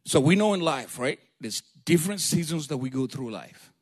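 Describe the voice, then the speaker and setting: A man from Africa, a preacher giving a sermon in a lively, joking, storytelling way and calling for amens. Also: on the high side, moderate pace.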